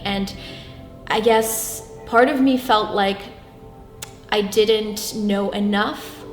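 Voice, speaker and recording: A young woman from Brazil, whose first language is Portuguese, answers interview questions in an earnest, halting way, restarting her sentences often. Her voice sits at a middle pitch and rings around a roomy space. Music plays clearly behind her. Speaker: guest. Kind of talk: reflective interview talk.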